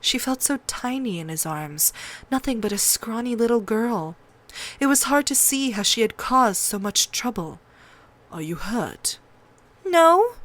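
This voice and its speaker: A woman's voice: on the high side, animated, normal speed, a bit bright. A woman reading scripted fiction as an audiobook narrator, in a dramatic storytelling style.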